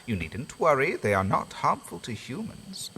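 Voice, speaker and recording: An actor playing a theatrical, courtly host who runs a haunted asylum in an audio drama, speaking in a grand, sweeping manner. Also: male voice, mid-range voice, sing-song, moderate pace, pushed and bright, slight background noise. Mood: instructive. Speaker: asylum owner character. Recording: faint music underneath.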